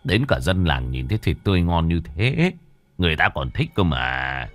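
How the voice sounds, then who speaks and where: A man voicing a sadistic husband who sneers and gloats with low menace in a horror story. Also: big pitch swings, normal speed. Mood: menacing.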